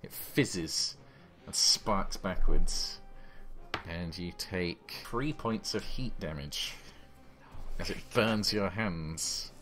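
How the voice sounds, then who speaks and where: A man's voice: sits low, drawn-out words, soft background music. A man running a tabletop horror game as game master, describing the action blow by blow with sound effects, on a voice call.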